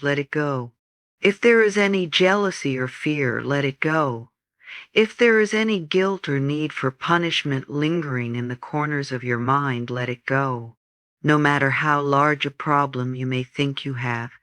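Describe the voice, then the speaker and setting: A man narrating a scripted guided meditation for sleep in a soothing, gentle, instructional manner. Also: mid-range voice, smooth, no room sound, clean studio sound.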